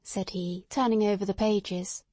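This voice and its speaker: A woman's voice: mid-range voice, steady tone, measured pace, warm tone, no echo. Woman narrating a classic detective story. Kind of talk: scripted audiobook narration.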